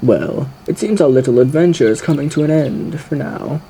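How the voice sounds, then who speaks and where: Suave gentleman radio demon, swooping intonation, mellow dark tone, theatrical, flirtatious, faintly menacing, audio roleplay. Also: on the high side, animated, moderate pace, vocal fry, slight background noise, low-quality recording. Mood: charming, ominous. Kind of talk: theatrical ASMR character roleplay monologue.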